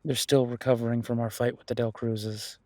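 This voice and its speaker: A protective werewolf detective played by a man talks gently to his partner in an intimate audio roleplay. His mid-range voice is worried yet reassuring, with hesitant pauses. The sound is dry and close, free of room echo. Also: medium pitch, flat delivery, close to an everyday voice.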